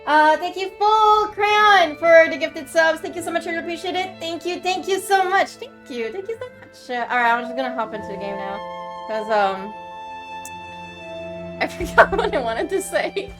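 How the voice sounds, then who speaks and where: Chatty high-voiced Quebec French woman streamer. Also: lively intonation, moderate pace. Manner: chatty, rambling, laughs often, thanks viewers. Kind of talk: casual chat with viewers. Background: game music.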